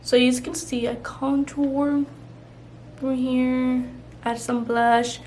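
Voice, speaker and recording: A woman's voice: high voice, little pitch movement, slow pace, darkish tone, slight background noise. A young woman vlogger chatting casually while doing her makeup, rambling with lots of "like" and "you know". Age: young adult. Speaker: beauty vlogger.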